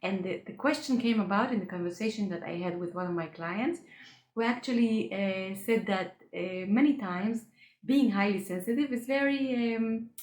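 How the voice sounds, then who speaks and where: Woman, earnest halting solo talk, restarts often, echoey room. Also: medium pitch, darkish tone. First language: Hebrew. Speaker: coach for highly sensitive people. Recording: roomy echo.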